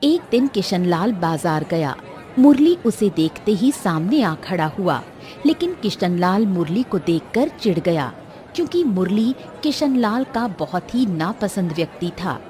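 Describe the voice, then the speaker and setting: A woman narrator reads a scripted moral tale for animation. The voice is medium in pitch, with soft music running underneath. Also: very animated, measured pace.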